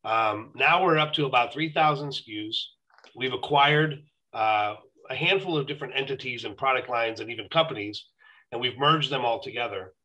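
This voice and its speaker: Middle-aged guy on a video call pitching his company, super bright punchy voice, medium pitch. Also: normal speed, poor audio. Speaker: dental company executive, guest. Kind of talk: sales-minded explanation on a live stream. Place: room at home or office, indoors.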